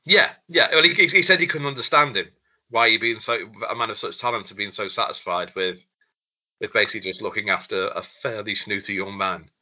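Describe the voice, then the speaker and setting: Podcast host guy, really bright sharp voice, stumbles on his words, muffled lo-fi audio. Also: lowish voice, brisk. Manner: enthusiastic, stammering restarts, acts out quotes. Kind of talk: casual podcast chat.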